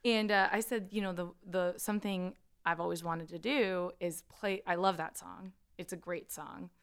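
Smooth-voiced woman, friendly musician guest. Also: on the low side, fairly even intonation, normal speed. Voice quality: slight grit in the voice. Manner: friendly and rambling, with frequent laughs. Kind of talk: casual interview chat. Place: radio studio.

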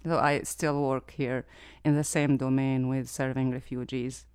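Low, very clear-voiced woman from Syria. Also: flat delivery, unhurried, darkish tone. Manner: careful, non-native phrasing. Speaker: community coalition president, guest. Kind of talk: radio interview. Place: radio station studio.

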